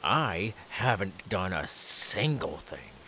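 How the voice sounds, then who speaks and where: A man's voice: sits low, sing-song, slow pace, pushed and bright, a little hiss or hum, full character voice. A man voicing a menacing, taunting killer simmering with anger in an ASMR audio roleplay, drawing out repeated words. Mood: angry, threatening.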